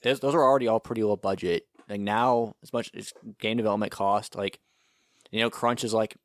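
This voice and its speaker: A man's voice: on the low side, fairly even intonation, brisk, no echo, studio quality. A man co-hosting a podcast, talking bluntly with plenty of swearing and strong opinions. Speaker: podcast co-host. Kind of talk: casual chat.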